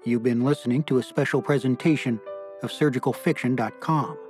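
A man's voice: medium pitch, a little fast. A man narrating a scripted horror story as an author and audiobook narrator. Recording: roomy echo, faint music behind.

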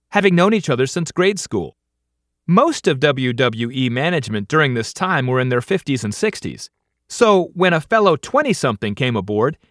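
A middle-aged man narrating his own memoir as an audiobook, with wry delivery and deliberate pauses before punchlines. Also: fairly high pitch, fairly bright tone, dry recording. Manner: wry, dry comic timing with punchline pauses. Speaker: author reading own audiobook. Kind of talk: scripted audiobook narration, first-person memoir.

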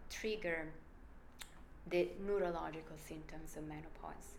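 Italian lady scientist explaining stuff, emphatic, lowish voice, roomy echo. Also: fairly even intonation, unhurried, slight background noise. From Italy. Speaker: brain researcher guest. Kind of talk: conversational interview.

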